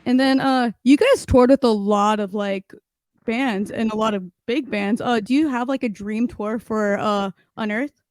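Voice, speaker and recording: A woman's voice: a little high, unhurried, a little mellow, no room sound. Chatty young woman, dry close sound. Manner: casual, chatty, many likes and mm-hmms. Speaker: interviewer, podcast host. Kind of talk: casual interview chat.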